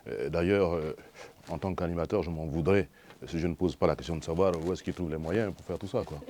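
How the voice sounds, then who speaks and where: A man with a Gabonese French accent, hosting a TV studio interview, asking relaxed questions full of fillers. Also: deep voice, brisk, a little hiss or hum. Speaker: TV host.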